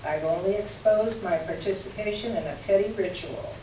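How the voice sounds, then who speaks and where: A woman's voice: medium pitch, normal speed, warm tone, natural voice. A woman playing a gracious, wry letter writer in a staged reading, speaking in formal, articulate phrases. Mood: wry, candid. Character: witty, gracious woman writing a candid letter. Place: echoey indoor room, recorded from afar. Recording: echoey room, distant mic, muffled, faint noise.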